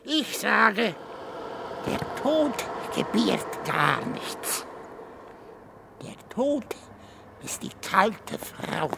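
A morbid old goatherd near death in a radio play. His thin, frail voice creaks heavily and moves very slowly, brooding and fatalistic. Clear background noise surrounds him. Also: sits high, very animated, exaggerated voice. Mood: grim, brooding. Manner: slow, brooding, ominous. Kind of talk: radio drama acting.

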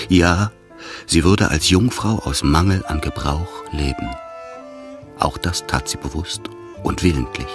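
A man narrating a literary radio drama in scripted, storytelling prose. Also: extremely low pitch. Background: music.

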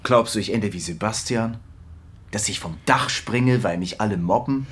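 An actor playing a young, cocky yet anxious soap writer in casual radio drama dialogue. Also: male voice, lowish voice, normal speed, fairly bright tone, close to an everyday voice. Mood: wry, uneasy. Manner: conversational, casual.

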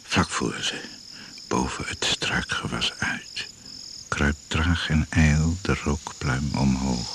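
A man narrating a radio adventure play in solemn, drawn-out, half-whispered phrases, as a grave storyteller. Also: very deep voice, exaggerated voice. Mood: solemn, suspenseful. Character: grave, slow storyteller of a jungle expedition adventure. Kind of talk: scripted radio drama narration. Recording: noisy old radio play recording.

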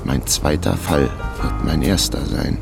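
A man playing a laconic fixer who narrates his case in a terse, deadpan way in a radio crime drama. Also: a bass voice, measured pace, gravelly, over music, exaggerated voice. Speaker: narrator and protagonist.

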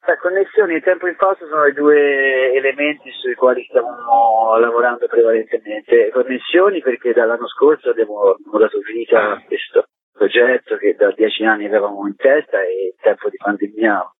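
A man being interviewed by phone on the radio as a festival director, explaining in a discursive way with pauses mid-phrase. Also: medium pitch, fairly even intonation, breathy edge, no echo. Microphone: telephone call to radio. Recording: thin, phone-like narrow-band line.

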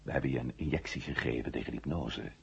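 An actor in a 1970 science-fiction radio drama playing a steady, commanding space hero who firmly and deliberately reassures a comrade. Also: male voice, rumbling low, vocal fry, slightly rough, muffled recording, full character voice. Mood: hushed, soothing.

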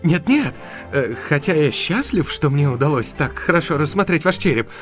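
A man performs an eager young doctor and science enthusiast in an audio drama. His high, swooping voice is courteous and a little hesitant. Music plays faintly behind it. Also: expressive, moderate pace, lightly acted. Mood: earnest, hesitant. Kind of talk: Russian audio drama acting.

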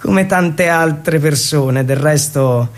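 A man performing a theatrical narrative monologue for radio, with a dramatic, irreverent delivery and emphatic pauses. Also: on the high side. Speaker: stage storyteller and actor.

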